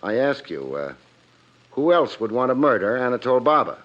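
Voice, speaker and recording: A wry, animated radio private eye. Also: male voice, medium pitch, moderate pace, slight background noise. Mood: skeptical. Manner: wry, clipped, insinuating. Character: tough, wry private detective, narrating and interrogating. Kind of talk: 1950s hardboiled radio detective acting. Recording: muffled old radio recording.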